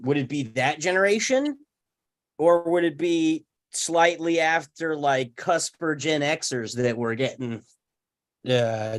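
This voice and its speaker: A man in his thirties chatting casually, thinking aloud in loose, slangy phrasing. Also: fairly high pitch. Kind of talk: casual group chat.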